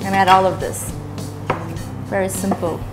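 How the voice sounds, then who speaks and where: A young adult woman hosts a cooking show, calmly walking through the recipe step by step, recorded indoors in a kitchen studio on a lapel mic. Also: medium pitch, normal speed. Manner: relaxed, step-by-step instructional. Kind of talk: cooking demonstration. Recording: studio cooking show with music under the voices. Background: music, clinking pans and cutlery.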